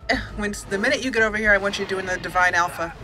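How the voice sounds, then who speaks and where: Female let's play host: bright, forceful voice, chatty and casual, faint music underneath. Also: mid-range voice, rapid-fire. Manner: chatty, talks aloud to game characters. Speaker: gaming channel host.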